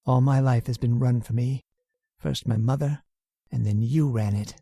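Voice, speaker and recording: A man's voice: medium pitch, moderate pace, creaky, mellow, breathy edge, no echo. Weak scheming husband played by a male actor: stammering, nervous, dry close sound, old-time radio horror. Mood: bitter, defiant. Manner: stammering, hesitant. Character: weak, nervous husband secretly plotting murder, defiant.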